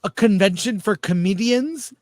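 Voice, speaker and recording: A man's voice: high voice, normal speed, a little mellow, no room sound, studio quality. A middle-aged man hosting a casual panel talk, putting questions to his guests. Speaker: show host.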